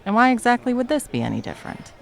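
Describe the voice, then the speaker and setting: Actress as nonchalant villain moonlighting as cafe worker, comedy ASMR; gravelly fry, animated pitch, deadpan teasing. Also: female voice, fast talker. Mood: casual, deadpan. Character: smug, deadpan young villain working as barista. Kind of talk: comedic ASMR roleplay acting.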